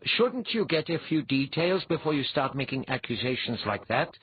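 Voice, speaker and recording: A wry, seasoned mentor in an audiobook tale, played by a male narrator. His delivery is dry and commanding, with a very husky tone and animated pitch. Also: moderate pace, no room sound, muffled recording. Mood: dry, calm. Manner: dry, unruffled, instructive.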